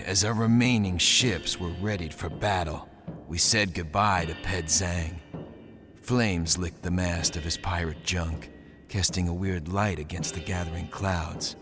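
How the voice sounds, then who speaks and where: An actor playing a gritty, determined young pirate raider in a video game, both narrating and in dialogue. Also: male voice, low-pitched. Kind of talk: video game cutscene acting and first-person narration.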